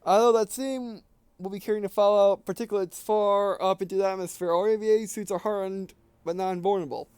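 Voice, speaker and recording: A man voicing a calm, authoritative male biologist in a sci-fi game, formal and measured. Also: high voice, smooth, no room sound, close to an everyday voice. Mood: steady.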